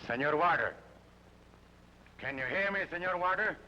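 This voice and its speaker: A man's voice: on the high side, animated, normal speed, sharp tone. A man playing a suave, menacing bandit captain with a put-on Mexican accent and mocking courtesy, in an old radio drama. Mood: mocking polite. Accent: put-on Mexican Spanish. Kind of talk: 1950s radio drama acting. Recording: muffled old radio broadcast with hiss.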